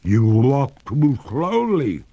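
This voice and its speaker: A man putting on a drawling, high-flown ghostly lady. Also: sing-song, mellow, highs cut off, exaggerated voice. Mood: plaintive, distressed. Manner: drawn-out, theatrical, genteel. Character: haughty female water ghost, a ladylike, plaintive spectre.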